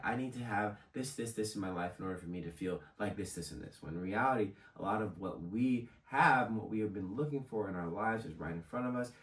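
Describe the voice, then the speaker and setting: Echoey, deep-voiced young man. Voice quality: slightly raspy. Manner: conversational, encouraging. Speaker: host of a motivational video series. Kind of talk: casual motivational vlog talk. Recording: echoey room, distant microphone.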